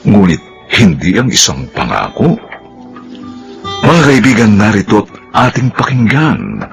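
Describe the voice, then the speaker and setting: A male radio drama announcer speaks slowly over prominent music. His delivery is dramatic, with huge swings of pitch. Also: wildly swooping pitch, gravelly.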